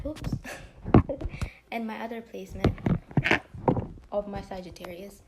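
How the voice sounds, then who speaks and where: A woman's voice: mid-range voice, steady tone, slow pace, heavy background noise. A woman vlogger chatting to camera in a rambling, casual way, full of fillers and dropping now and then into whispery asides. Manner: drifting, many fillers, drops into whispery asides.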